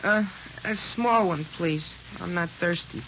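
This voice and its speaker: An actor playing a naive, nervous sixteen-year-old boy in a 1940s radio drama, speaking haltingly and awkwardly. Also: high-pitched, pushed and bright, a little hiss or hum, heavily acted. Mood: nervous bravado. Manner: hesitant, stammering, awkward. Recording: dull old radio broadcast recording.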